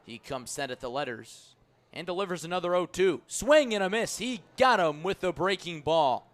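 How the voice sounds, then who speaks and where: Higher-voiced man calling live baseball. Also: expressive, normal speed. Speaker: play-by-play radio announcer. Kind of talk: live baseball play-by-play commentary.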